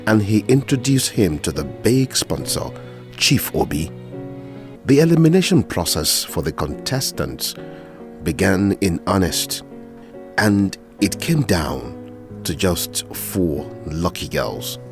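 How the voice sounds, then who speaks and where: Low nigerian guy narrating radio drama, very dramatic up and down delivery, music behind.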